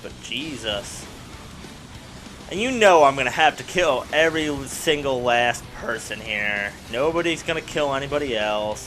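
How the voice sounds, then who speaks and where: A man who is sick with a raspy voice, playing a car combat game and rambling through casual commentary with lots of fillers. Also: on the low side. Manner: rambling, excitable, many fillers. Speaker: let's play gamer. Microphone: desk microphone at home.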